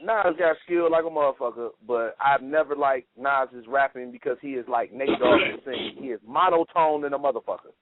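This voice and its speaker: A man hosting a casual radio talk show, telling stories in a profane, animated way. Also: mid-range voice, brisk, few pauses, slight background noise. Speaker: podcast host. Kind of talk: casual chat. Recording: dry phone-like lo-fi sound.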